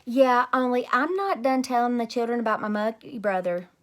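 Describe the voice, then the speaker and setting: A woman voicing a bossy, excitable kindergarten girl in a storybook, emphatic and childishly worded. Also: fairly high pitch, moderate pace, pitched above the performer's own voice, natural voice. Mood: eager. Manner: childish grammar, emphatic, blurting.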